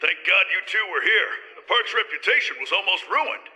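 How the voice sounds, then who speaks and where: A man playing an excitable, pompous theme park boss in a cheesy radio drama, with florid, theatrical delivery. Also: sits high, moderate pace, in a large hall, exaggerated voice, rough recording. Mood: relieved.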